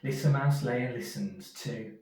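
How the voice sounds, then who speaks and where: A man reading a children's story aloud in an expressive storyteller's manner. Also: mid-range voice, moderate pace. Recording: echoey room, distant from mic.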